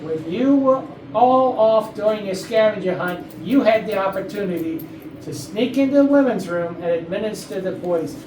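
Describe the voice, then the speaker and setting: A woman playing a confident, deliberate police detective in a staged murder mystery comedy. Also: medium pitch, expressive, warm tone, lightly acted. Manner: deliberate, authoritative. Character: brisk, confident police detective laying out the case. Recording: echoey room, distant mic, faint noise.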